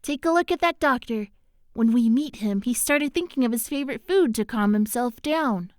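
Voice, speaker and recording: A woman's voice: a little high, lively intonation, normal speed, no echo, clean studio sound, close to an everyday voice. A woman voicing a seductive, scheming female succubus in a fan audio drama, talking in a coaxing way. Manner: coaxing, sultry.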